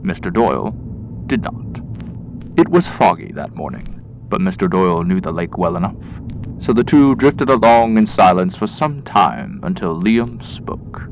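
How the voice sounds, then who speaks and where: A man's voice: sits low, expressive, a little slow, highs cut off, noisy background. A man narrating a scripted horror tale in the vein of 1920s radio, with dramatic, ominous delivery. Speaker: storyteller, podcast host. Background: music.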